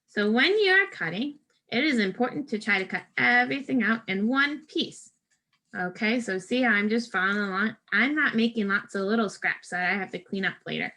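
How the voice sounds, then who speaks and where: A woman's voice: on the high side, lively intonation, a little fast, sharp tone. A woman teacher giving a step-by-step craft lesson to first graders, speaking directly to children in simple, patient instructions.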